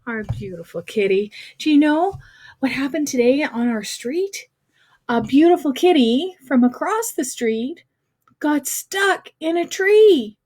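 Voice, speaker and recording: High-voiced woman hosting children's story time. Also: expressive, unhurried, darkish tone. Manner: sing-song, addressing children with questions. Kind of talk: casual livestream chat to young children. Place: informal livestream at a computer.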